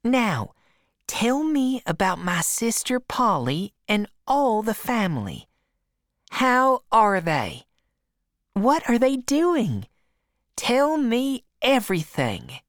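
A fussy farm aunt who gushes and chatters, pitched high and singing up and down, as a woman reader puts her on. She speaks slowly and breathlessly warm in a dry, close recording. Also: huge pitch swings, dry recording, full character voice. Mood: eager. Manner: gushing, chattering. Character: fussy, emotional middle-aged aunt on a farm.

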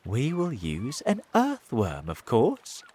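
A man voicing a thoughtful, resourceful young boy in a storybook, earnest and deliberate. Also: mid-range voice, huge pitch swings, a little mellow, breathy edge, voice pushed up, full character voice. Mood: explaining.

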